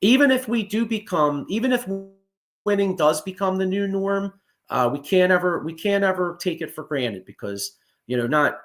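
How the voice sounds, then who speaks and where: A man, hosting a casual sports chat, excitable and profane with lots of restarts. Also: sits high, normal speed. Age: 40s. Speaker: podcast host.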